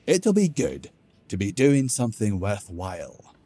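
A man voicing a calm male dwarf companion who talks gravely, drily and persuasively. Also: on the low side, unhurried, full character voice. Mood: coaxing. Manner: grave, dry and coaxing.

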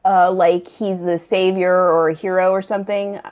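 A woman playing an unimpressed slacker student of magic, griping in halting, slangy speech in an improvised comedy sketch. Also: on the low side, level tone, a little mellow, natural voice. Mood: sardonic, griping.

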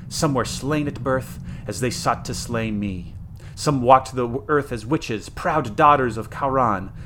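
A man's voice: mid-range voice, natural voice. A man voicing a cruel, sneering witch, noisy recording. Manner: sneering, theatrical, archaic grandiose speech. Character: cruel, mocking young witch, evil twin of queen. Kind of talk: dramatic fantasy story reading.

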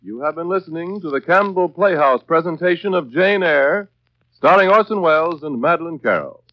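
Man, vintage radio announcer, animated intonation, emphatic folksy sales pitch, dull old recording. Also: moderate pace, no echo. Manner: polished, emphatic, folksy persuasion. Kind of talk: 1930s radio advertisement.

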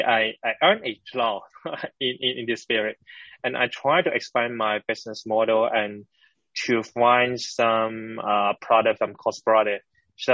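A man from Hong Kong with a Hong Kong accent, answering interview questions as a startup founder in halting English with frequent pauses. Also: mid-range voice, fairly bright tone, no room sound, muffled recording.

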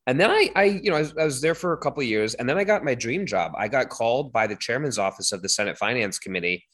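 A man in his thirties, a company founder, recounting his career in a relaxed interview with many fillers. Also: mid-range voice, fast. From born in Hong Kong, raised in London. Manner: chatty, with many fillers and self-corrections. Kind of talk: interview, personal storytelling.

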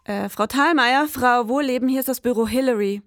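A woman playing a brisk, orderly detective who narrates a case in a mock-serious, businesslike noir style. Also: fairly high pitch, noisy background, close to an everyday voice.

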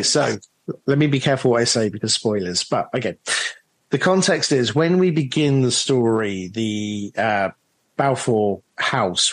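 A man's voice: fairly low pitch, a bit bright, no room sound. Enthusiastic man in a dry, close recording. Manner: enthusiastic, emphatic. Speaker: audio drama director being interviewed. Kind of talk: animated interview chat.

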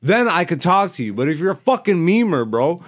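A man's voice: sits high, sing-song, a little fast. Emphatic, profane comedian man chatting on a podcast. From born in Greece, Ethiopian by blood. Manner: slangy, profane, emphatic storytelling. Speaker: stand-up comedian and podcast host. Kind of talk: casual, animated podcast chat.

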